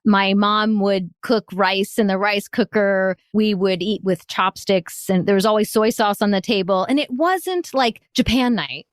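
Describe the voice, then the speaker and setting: A woman, a consultant telling stories as a podcast guest in an enthusiastic, expressive way. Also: medium pitch, measured pace, hardly any pauses, dry recording, studio quality. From United States. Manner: enthusiastic, expressive, laughs often. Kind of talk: storytelling in an interview.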